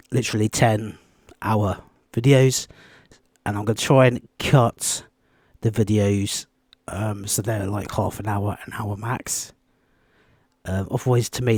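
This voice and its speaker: A quiet-voiced man giving a rambling, off-the-cuff Bible study video, with many ums and restarts. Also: mid-range voice, no room sound, studio quality. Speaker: Bible teacher.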